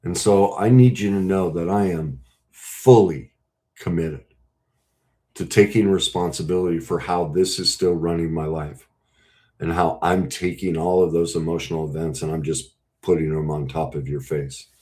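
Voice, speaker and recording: A man speaking as a relationship coach, earnest and emphatic, breaking his talk into short, deliberate phrases. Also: low voice, fairly even intonation, warm tone. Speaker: relationship coach and podcast host. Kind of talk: coaching talk to camera with role-played example conversations.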